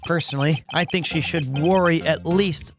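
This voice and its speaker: A man's voice: on the high side, fairly even intonation, normal speed, natural voice. A man voicing a hesitant young defence lawyer in video game dialogue, sheepish and stammering with dry asides, over game music. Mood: wry.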